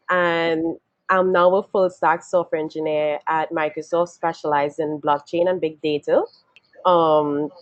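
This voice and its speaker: Low-voiced chatty woman on a call. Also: dry recording. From Trinidad and Tobago. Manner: chatty, laughs often, many fillers. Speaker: webinar panelist. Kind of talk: casual storytelling talk. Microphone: video call. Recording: dull lo-fi video call audio.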